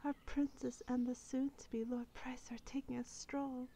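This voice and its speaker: Giggly young court gossip, woman acting: girlish, airy, mellow, dreamy; ASMR fantasy roleplay. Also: sits high, level tone, measured pace, gravelly, slight background noise. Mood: dreamy, gossipy.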